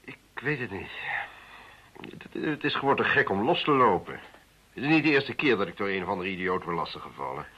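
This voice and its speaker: Irritable, husky threatened man. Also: on the low side, animated, fairly quick, sharp tone, full character voice. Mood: dismissive. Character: irritable, skeptical male client under threat. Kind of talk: radio play acting. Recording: dull lo-fi old radio sound.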